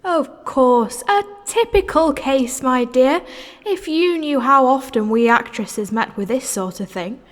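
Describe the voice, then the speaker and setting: Audio drama casting: a worldly, condescending stage star, high voice with animated, theatrical swoops, reverberant hall. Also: female voice, a little slow, soft background music. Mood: knowing, condescending. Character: poised, condescending, worldly successful stage actress.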